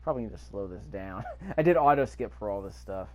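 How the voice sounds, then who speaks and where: Low-voiced man casually commentating a game. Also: lively intonation, quick pace. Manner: offhand, rambling. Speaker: gaming video host. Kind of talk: casual gameplay commentary, reading prompts aloud. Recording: faint noise behind the voice.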